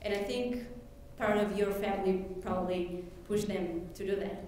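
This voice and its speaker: A woman's voice: mid-range voice, a little mellow, slight background noise. Echoey distant woman telling her story. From Ethiopia. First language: Amharic. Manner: halting, many fillers and restarts. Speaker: speaker sharing her story. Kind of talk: personal testimony speech. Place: event hall. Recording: very echoey room, distant mic.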